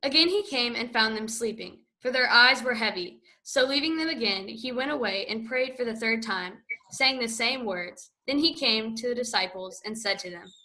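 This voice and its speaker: A woman narrating a scripture reading in a steady, even read-aloud way. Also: a little high, normal speed, hardly any pauses, low-quality recording.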